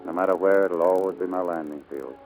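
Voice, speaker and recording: A man's voice: sits low, fast talker, narrow, tinny audio, natural voice. An actor playing a devoted, earnest male pilot in love, in 1940s radio drama style. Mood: eager, loving. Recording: vintage 1940s radio recording, faint music.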